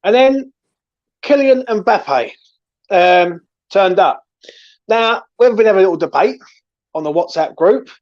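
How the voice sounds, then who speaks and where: A man's voice: high voice, lively intonation, unhurried, long pauses, a little mellow, lo-fi sound. A man hosting casual football chat, emphatic and opinionated, repeating himself to stress his points. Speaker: host of a football discussion show.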